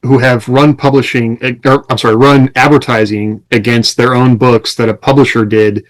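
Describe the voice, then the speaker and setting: A man's voice: medium pitch, flat delivery, moderate pace. A man co-hosting a podcast, explaining things in a conversational, advisory way. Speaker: podcast co-host and author. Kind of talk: casual explanatory advice in conversation.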